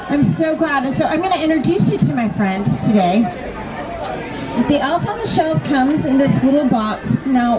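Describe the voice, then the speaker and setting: A woman's voice: sits high, low-quality recording. A woman storytelling to an audience of children, reading a picture book aloud and putting simple questions to them. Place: large busy toy store event. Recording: busy public event, crowd noise, loud music.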